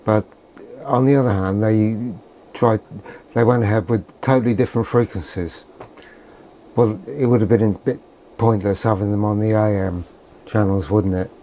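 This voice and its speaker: Low, mellow older British man, dryly grumbling. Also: steady tone, normal speed, a little hiss or hum. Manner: dry, grumbling, sardonic. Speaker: presenter of a vintage radio video. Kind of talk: rambling unscripted vlog commentary.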